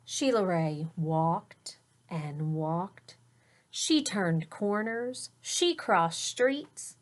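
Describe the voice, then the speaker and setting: A woman's voice: lowish voice, expressive, very slow. A woman teacher reading a picture book aloud to children, explaining words and pointing out the pictures, sometimes dropping to a whisper.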